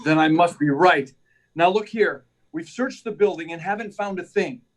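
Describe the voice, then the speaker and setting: A man's voice: a little high, normal speed, natural voice. A man playing an earnest, determined hero reporter in an old-time radio drama, emphatic and urgent. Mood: urgent, resolute. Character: earnest, determined male reporter, secretly a superhero.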